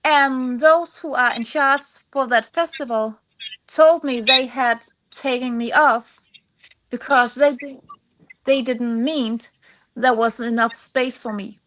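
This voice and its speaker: Female podcast guest, high-pitched, Danish accent, second-language speaker, conversational and somewhat halting phrasing. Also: fairly even intonation, fairly bright tone, poor audio. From Denmark. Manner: halting, searching for words in non-native English. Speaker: singer-songwriter, podcast guest. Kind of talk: conversational interview answers.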